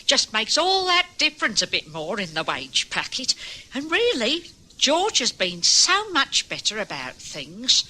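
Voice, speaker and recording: A flustered London housewife. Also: female voice, on the high side, wildly swooping pitch, measured pace, pushed and bright, exaggerated voice, rough recording. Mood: homely. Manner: flustered, homely, chattering. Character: frail, fussy working-class London wife. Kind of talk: 1950s radio drama acting.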